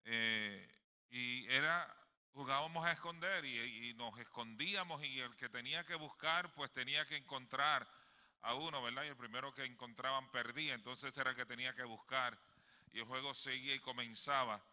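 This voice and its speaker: Bright, forceful Puerto Rican man preaching. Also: mid-range voice, normal speed. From Puerto Rico. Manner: emphatic, often seeks agreement with tag questions. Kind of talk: sermon delivered to a congregation. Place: indoors in a sanctuary. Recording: long hall reverb.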